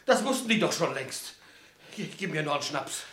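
A man's voice: fairly high pitch, expressive, fairly quick, a bit bright. A man acting a cornered young man accused of betraying his friends, pleading and worn down, in a radio drama. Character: cornered young man accused of informing, defiant then broken. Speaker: radio play actor. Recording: roomy studio echo.

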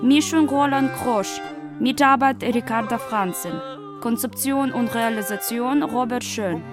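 A woman's voice: a little high, measured pace. A woman speaking in a radio play production. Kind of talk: retelling a story and reading credits in a radio play. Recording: clear music bed behind voice.

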